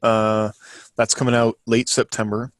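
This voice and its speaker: A man's voice: on the low side, flat delivery, unhurried, dry recording. A man co-hosting a podcast, running through announcements in a casual, chatty way. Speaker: podcast co-host.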